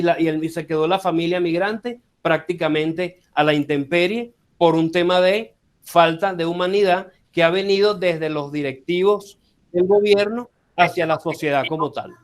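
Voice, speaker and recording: A man speaking as a human rights advocate, delivering a formal, measured, legalistic statement over a video call. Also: a little high.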